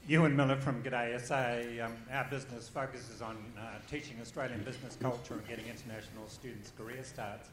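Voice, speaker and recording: A man asks a panel a question in a measured, business-like way. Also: medium pitch, fairly even intonation, very echoey, crowd noise. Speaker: audience member asking a question.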